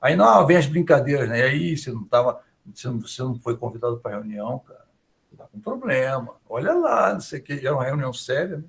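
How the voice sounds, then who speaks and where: Gravelly Brazilian professor giving a lecture. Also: male voice, medium pitch, big pitch swings, fast. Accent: Brazilian Portuguese. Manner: chatty, tags sentences with tag questions. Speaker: university professor. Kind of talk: online class lecture, conversational.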